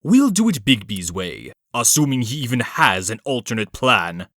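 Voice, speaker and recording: A man's voice: mid-range voice, very animated, normal speed, fairly bright tone, no echo, studio quality. A man playing a haughty, ruthless, wealthy villain in a comic dub, sneering and articulate. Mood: cold, goading.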